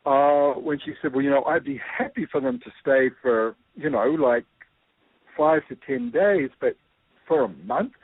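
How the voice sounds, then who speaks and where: A man's voice: medium pitch, measured pace, husky, no room sound. New Zealand man on a tinny line. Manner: thoughtful, many fillers. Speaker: guest. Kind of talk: explaining with a personal story.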